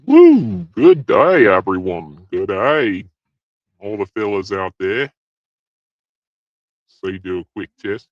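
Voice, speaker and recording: A man's voice: low-pitched, slow, pauses often, no echo, highs cut off. Blokey man. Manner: hearty, blokey, repetitive pep talk. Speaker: host of an advice show for men. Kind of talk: comic persona talk show.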